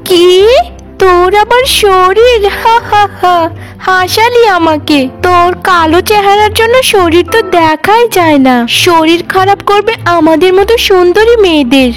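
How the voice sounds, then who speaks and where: A woman's voice: extremely high pitch, slow, faint music behind, voice pushed up. A woman voicing a cruel, vain young sister-in-law in a cartoon, mocking and bossy. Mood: mocking, scornful.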